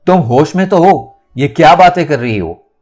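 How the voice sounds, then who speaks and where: A man's voice: a little high, expressive, normal speed, a little mellow, soft background music, pitched above the performer's own voice. A man voicing an anxious, scolding mother in an audio novel, urgent and reproachful. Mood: alarmed scolding.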